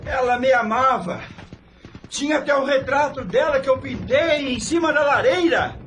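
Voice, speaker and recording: A man's voice: extremely high pitch, moderate pace, a bit bright, a little hiss or hum. A man playing an impassioned, lovesick soldier in an audio drama, with a Brazilian accent. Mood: indignant, heated.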